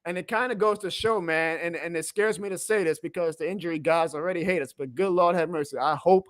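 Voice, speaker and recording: A man hosting a live sports reaction stream, excitable and emphatic, his voice going hoarse. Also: a little high, quick pace, dry recording, studio quality. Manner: excitable, emphatic, plugs merch, reads chat aloud. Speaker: sports fan livestream host.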